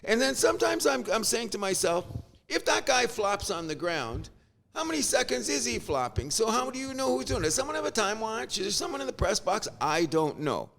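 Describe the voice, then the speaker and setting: A man's voice: high-pitched, big pitch swings, brisk, creaky, fairly bright tone, a little hiss or hum. A middle-aged man from Canada, ranting with exasperation and casual swearing as a sports podcast host. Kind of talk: opinionated sports rant.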